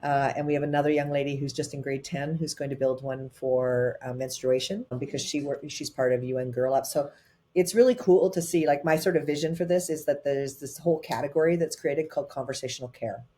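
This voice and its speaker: A woman guest, a tech advisor, telling her story in a lively conversational way, often quickening her pace, with fillers like "um" and "uh" between phrases. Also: low-pitched. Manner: animated, quick bursts with frequent fillers.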